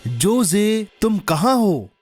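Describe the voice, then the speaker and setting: An actor voicing a sensible, caring, cautious companion in a cartoon dub, expressive and gently admonishing. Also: medium pitch, takes it slowly. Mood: alarmed. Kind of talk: cartoon dubbing. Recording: music bed under the voice.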